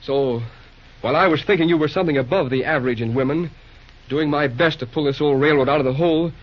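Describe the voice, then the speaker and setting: A man performs an earnest, businesslike railroad boss in a vintage radio serial. His voice sits a little high for a man. The recording is dull and aged. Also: fast, lightly acted. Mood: bitter, cold. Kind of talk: old-time radio drama acting.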